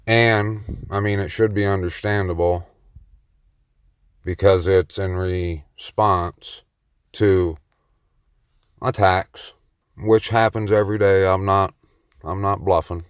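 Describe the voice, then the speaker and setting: A man's voice: low-pitched, steady tone, takes it slowly, long pauses, clear, resonant tone, no echo, studio quality. A man speaking alone on the mic in a rambling monologue, boastful and playfully cocky, with a meandering delivery. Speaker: solo vlogger on the mic. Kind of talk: rambling self-recorded monologue.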